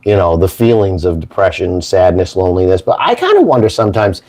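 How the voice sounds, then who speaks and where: A hoarse man hosts a casual morning show, rambling and joking in a folksy way. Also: low-pitched, big pitch swings, brisk, a little mellow. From Maine, United States. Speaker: host.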